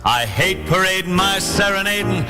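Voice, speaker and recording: A man's voice: high-pitched, expressive, normal speed. A man delivering rhymed, old-time musical verse in a light song style. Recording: old recording under loud music.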